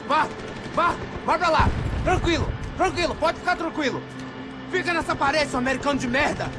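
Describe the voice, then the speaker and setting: An actor playing an aggressive Brazilian gunman who barks orders and shouts in agitation. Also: extremely high pitch, quick pace. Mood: furious, agitated. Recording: music behind the voice. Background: game music.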